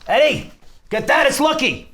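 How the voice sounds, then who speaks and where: A man playing a commanding, wary mob boss in a script table reading, brisk and bossy. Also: very high voice, animated, bright, forceful tone, exaggerated voice.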